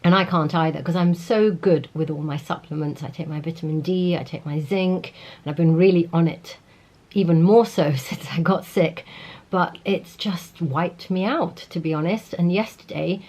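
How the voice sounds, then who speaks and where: A woman's voice: deep voice, a little mellow. A middle-aged woman vlogging casually about makeup, chatty and enthusiastic, still congested from a cold. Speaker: beauty vlogger. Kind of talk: casual vlog talk to camera.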